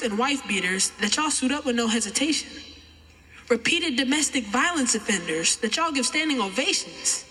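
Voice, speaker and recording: A twelve-year-old American boy performing spoken-word poetry with emphatic, deliberate oratory. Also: extremely high pitch, sharp tone. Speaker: young poet reciting. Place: large chapel, live audience event. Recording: echoey large chapel, long reverb.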